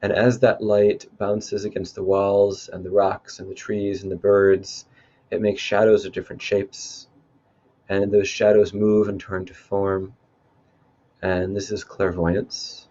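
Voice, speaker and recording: A man leading a guided meditation in a calm, unhurried, instructive way. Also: on the low side, muffled recording. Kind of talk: guided meditation, spoken softly to listeners.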